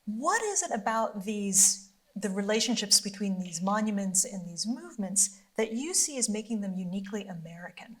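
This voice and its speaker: A woman's voice: mid-range voice, very animated, moderate pace. A woman moderating a panel discussion, asking a thoughtful question after attentive listening. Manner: attentive, frequent "mm-hmm" backchannels.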